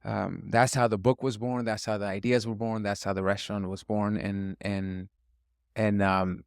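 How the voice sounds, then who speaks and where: A man with a fairly low, clear and resonant voice talks as a chef being interviewed. He is from Northern California and speaks casually and earnestly, often pausing and circling back as he thinks. The sound is close and dry. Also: flat delivery, no echo. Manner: earnest, halting, reflective. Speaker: chef and restaurant guest.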